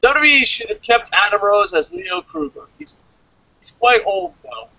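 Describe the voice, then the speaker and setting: Man, bright forceful tone, swooping pitch, casual show co-host, blunt, sweary, slightly muffled audio. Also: animated, moderate pace, no echo. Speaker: co-host of a wrestling review show. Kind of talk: casual talk, reading and answering comments.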